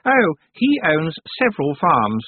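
Prickly, defensive young witch girl read by a man, high and shrill, swinging pitch, dry tinny audio. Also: huge pitch swings, unhurried, slightly rough, dry recording, exaggerated voice. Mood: flustered. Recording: dry, tinny phone-like sound.

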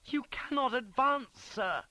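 A male reader plays a British cavalry officer at his wits' end. The voice is high, forceful and shouting, urgent and insistent, in dramatic storybook style. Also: expressive, measured pace, dry recording, exaggerated voice. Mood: frantic, pleading. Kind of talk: acted character in an audiobook.

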